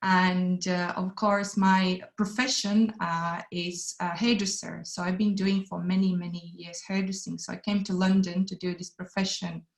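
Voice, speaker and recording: A woman's voice: on the low side, little pitch movement, moderate pace, gravelly, hardly any pauses. A woman from Latvia giving an earnest webinar presentation with non-native phrasing, recorded on a computer mic. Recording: echoey room.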